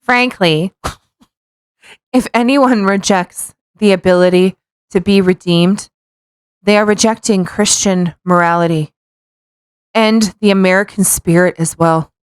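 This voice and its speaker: A woman's voice: mid-range voice, slow, pauses often, clean tone, dry recording. Playful woman co-host, close dry sound. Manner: playful, mocking, profane. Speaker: podcast co-host. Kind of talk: casual dramatic reading with joking asides.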